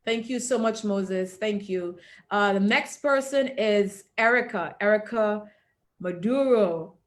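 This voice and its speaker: A woman's voice: mid-range voice, takes it slowly. A woman hosting an online video call, who talks with emphasis and often checks in with "all right?" and "okay?". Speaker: host.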